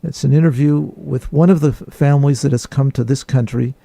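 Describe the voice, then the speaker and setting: Mellow, gravelly male radio host. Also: medium pitch, steady tone, brisk, no echo. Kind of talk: radio interview, addressing listeners.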